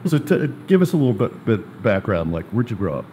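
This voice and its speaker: A man hosting a casual interview, chatty and joking, asking quick follow-up questions. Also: lowish voice, big pitch swings, dark tone, slight background noise. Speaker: host.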